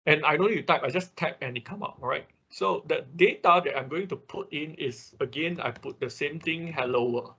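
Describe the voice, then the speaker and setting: A man's voice: a little high, brisk, few pauses, a bit bright, husky. A man who is a non-native English speaker, teaching a live online programming tutorial step by step and often checking in with okay and right. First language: not English. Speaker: instructor of an online robotics programming class. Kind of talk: live tutorial with screen demonstration. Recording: dull, lo-fi online class audio.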